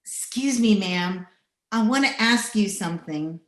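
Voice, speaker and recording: Woman playing a slow, hard frontier widow, echoey. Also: mid-range voice, lively intonation, a bit bright. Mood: guarded. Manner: curt, hard, deliberate. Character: bitter, vengeful widow of the Old West. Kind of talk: old-time radio western acting. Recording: echoey room over video call.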